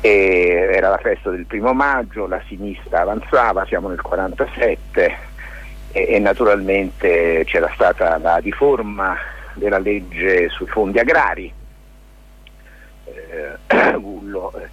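Very husky, low-voiced man explaining haltingly. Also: a bit bright, muffled recording. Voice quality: husky, rough. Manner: halting, discursive explaining with many hesitations. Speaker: lawyer and theatre maker, interview guest. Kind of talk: informal spoken explanation in a radio interview.

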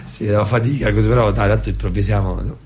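A man's voice: fairly low pitch, fairly even intonation, quick pace, a little mellow, slightly rough. A man performing on a comedy radio show, chatting casually and improvising banter.

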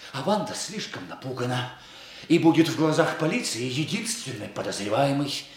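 A man's voice: mid-range voice, very animated, normal speed, close to an everyday voice. Actor as a volatile, jealous male murderer. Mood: menacing, boastful. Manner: emphatic, menacing, swinging between outbursts and hushed bitterness. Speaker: actor in a radio play. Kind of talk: theatrical Russian radio drama acting. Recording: roomy, echoing studio radio-play recording.

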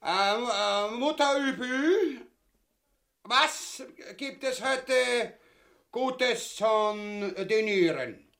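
An actor playing a gluttonous, crude, clownish husband in a farcical radio play, with a Viennese Austrian accent and exaggerated, coarse delivery. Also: male voice, mid-range voice. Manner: drawn-out, exaggerated, coarse delivery with big swings.

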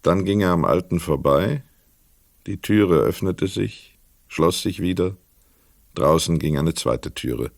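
A man narrating a literary crime radio play as a sober storyteller, measured, precise and dramatic. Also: deep voice, gravelly, dry recording, studio quality, heavily acted.

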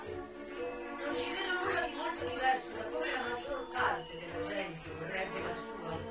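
An actress playing a frank, unrepentant brothel madam who tells her life story in an earthy, wry, deliberate theatrical monologue. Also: a little high. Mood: proud, defiant. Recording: echoey, distant voice with music.

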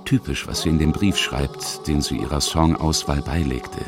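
A man's voice: extremely low pitch, normal speed, music in the background. A man reading scripted documentary narration as the narrator and interviewer of a feature.

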